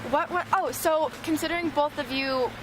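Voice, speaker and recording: A woman's voice: high-pitched, normal speed. A young woman interviewing people on the street, asking her questions hesitantly with many fillers and restarts. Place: outdoors on a busy city street. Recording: street traffic noise behind.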